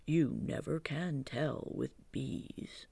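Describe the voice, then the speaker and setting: Reader doing a mild, dreamy storybook bear: breathy hush, slow and gravelly. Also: mid-range voice, no echo, full character voice. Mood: pondering. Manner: soft breathy half-whisper, slow and thoughtful. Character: gentle slow-witted male toy bear.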